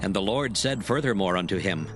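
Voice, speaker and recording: A man narrating a dramatized scripture reading in a steady, formal storytelling manner. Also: deep voice, fairly quick. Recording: music underneath.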